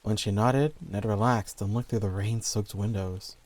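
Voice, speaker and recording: A man's voice: fairly low pitch, moderate pace, darkish tone, no echo. A man reading scripted horror stories as the narrator.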